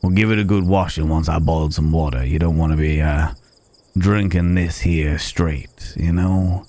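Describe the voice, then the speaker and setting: A man's voice: a bass voice, fairly even intonation, normal speed, darkish tone, no room sound. A man playing a wry, caring cowboy stranger in an ASMR Wild West roleplay, talking in folksy, rambling asides, with crickets behind him. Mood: easygoing, reassuring. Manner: folksy, rambling, murmured asides to horse and companion.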